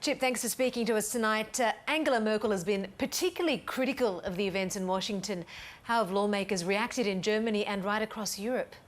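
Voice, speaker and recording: A woman news anchor introducing a correspondent and moving on to the next story, composed and crisp. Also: medium pitch, fast. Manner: crisp, composed studio delivery. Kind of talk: news presenting.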